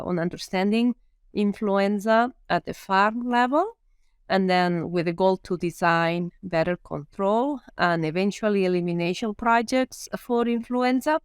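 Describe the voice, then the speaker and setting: A woman's voice: mid-range voice, clear, resonant tone, no echo, studio quality. A woman in her fifties from Spain with a Spanish accent, a veterinary professor being interviewed and talking it through thoughtfully with frequent ums. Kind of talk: podcast interview.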